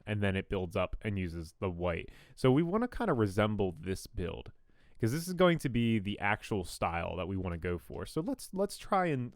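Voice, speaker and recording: A young man with a low, smooth, radio-style voice talks through a game build in a relaxed, rambling way. He hedges and restarts, poking fun at his own work. It's recorded close on a good studio mic with a dry, clean sound. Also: no echo. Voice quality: fairly deep radio-style voice. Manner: rambling, self-deprecating, frequent ums and restarts. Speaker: gaming YouTuber. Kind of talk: casual commentary while building in a game. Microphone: new studio condenser mic.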